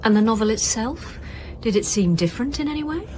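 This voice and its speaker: A woman's voice: fairly high pitch, lively intonation, a little fast, a little mellow, close to an everyday voice. Woman playing dry female detective, ambient noise. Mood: probing. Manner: clipped, dry, questioning. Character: dry, sceptical female detective chief inspector. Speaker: actor playing police detective. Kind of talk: naturalistic radio drama dialogue.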